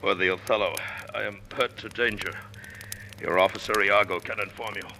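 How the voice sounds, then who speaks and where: An actor playing a dignified, concerned officer with theatrical, urgent delivery in old-time radio Shakespeare style. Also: male voice, fairly low pitch, fairly even intonation, moderate pace, bright, forceful tone, slight background noise. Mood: pained, stern. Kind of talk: 1940s radio acting of Shakespeare.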